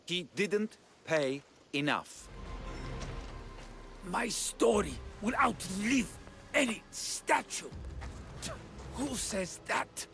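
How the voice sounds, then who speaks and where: A mercenary messenger in a dramatic game scene. His high voice swings widely as he taunts in a mocking drawl. Music plays softly behind him. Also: animated, very slow, gravelly, pauses often, full character voice. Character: taunting, greedy male messenger for hire. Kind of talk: dramatic video game acting.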